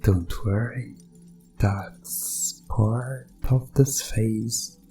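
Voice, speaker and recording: A man playing a sinister doctor in a hypnosis ASMR roleplay, soothing and hypnotic, repeating himself with calm menace. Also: on the low side, faint music behind. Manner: slow, soothing, hypnotic, repetitive.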